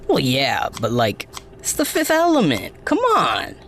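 A woman's voice: on the low side, huge pitch swings, takes it slowly, fairly bright tone, slight background noise, heavily acted. A woman playing a teasing, casual young friend in a fiction podcast, speaking colloquially. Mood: playful. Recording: music underneath.

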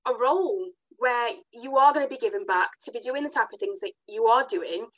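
A woman hosting a podcast, chatting casually and warmly affirming her guest with enthusiastic interjections. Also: a little high, fairly quick. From Africa. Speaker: podcast host.

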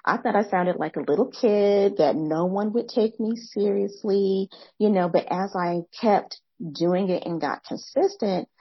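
A young adult woman hosting a podcast, speaking in a soft, soothing voice, reflective and quick, sometimes restarting her phrases. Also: mid-range voice, husky, dry recording, muffled recording. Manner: fast, excited talker who pauses to rephrase. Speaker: podcast host. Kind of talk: reflective interview commentary.

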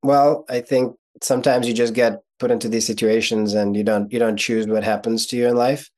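A man's voice: mid-range voice, little pitch movement, brisk, darkish tone. A man in his forties, a native Russian speaker from Ukraine, talking as a podcast guest and explaining things thoughtfully with small restarts. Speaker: guest, real estate investor and mentor. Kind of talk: podcast interview.

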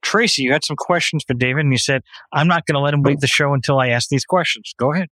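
A man's voice: on the high side, fast, fairly bright tone, no room sound. A man hosting a talk radio show, politely thanking guests and steering the show.

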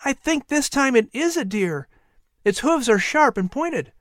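A man's voice: very high-pitched, animated, unhurried, fairly bright tone, dry recording, clean studio sound, voice pushed up, heavily acted. A man voicing a curious young boy who answers carefully and eagerly. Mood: earnest.

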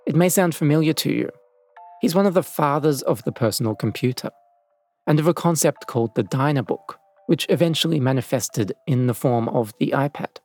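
A man's voice: a little high, darkish tone. Male documentary narrator over music. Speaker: podcast host and narrator.

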